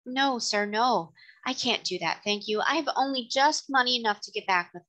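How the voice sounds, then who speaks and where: Narrator doing a young country mum from a storybook, humble and pleading, woman's voice pitched up, bright. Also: moderate pace. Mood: earnest, resolute. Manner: pleading, polite.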